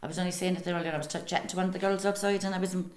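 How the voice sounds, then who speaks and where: A woman's voice: fairly low pitch, steady tone, very fast, darkish tone, reverberant. A woman with a County Cork accent chatting casually in an interview, emphatic and repeating herself. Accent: Irish, County Cork. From Youghal, Ireland. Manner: emphatic, repetitive, tags phrases with "you know". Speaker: amateur radio drama cast member.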